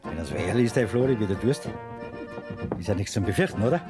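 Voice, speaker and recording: An actor playing a scheming, jealous village suitor with a Bavarian accent, sly and insinuating. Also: male voice, lowish voice, expressive, quick pace, lightly acted. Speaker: actor in a radio play. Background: folk music.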